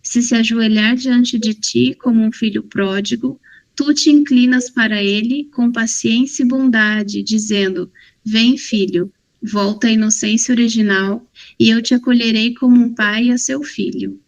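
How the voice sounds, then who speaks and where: A woman reading a long prayer aloud in a steady, measured way. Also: on the high side, highs cut off. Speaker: prayer reader.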